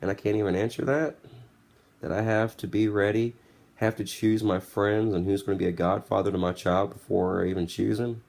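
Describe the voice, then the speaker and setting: A man's voice: on the low side, fairly even intonation, fairly quick, vocal fry, darkish tone. A man in his twenties giving a candid, blunt, casual motivational monologue. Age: 20s. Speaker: podcast host. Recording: music playing behind the voice. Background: background music.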